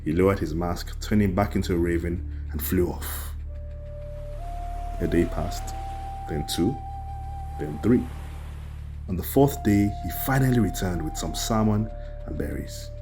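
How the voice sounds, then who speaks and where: A man narrating a scripted folktale as a storyteller, in a measured way with dramatic pauses. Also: deep voice. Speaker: storyteller host. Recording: music under the voice. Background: background music.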